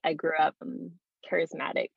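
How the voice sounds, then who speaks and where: A woman's voice: low-pitched, steady tone, normal speed, vocal fry, slightly rough, no echo. A woman in her late twenties introducing herself in an interview, on a dull, lo-fi recording. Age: late 20s.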